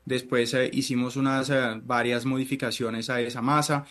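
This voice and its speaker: A middle-aged man from Italy whose first language is Italian, a chef answering interview questions in a halting way, with many fillers and restarts. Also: medium pitch, flat delivery, fairly bright tone.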